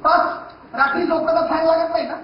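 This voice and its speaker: A man's voice: very high voice, steady tone, fairly quick. A man playing a young prospective groom in a comic stage play, asking blunt, casual questions. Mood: matter-of-fact. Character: brisk young prospective groom vetting a match. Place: theatre stage. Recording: noisy muffled echoey stage, distant mic.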